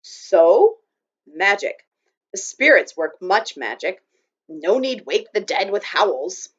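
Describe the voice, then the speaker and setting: A woman's voice: mid-range voice, big pitch swings, slow pace, breathy edge, muffled recording, full character voice. A woman voicing a stern, proud tiny warrior man who speaks in clipped, broken, commanding phrases.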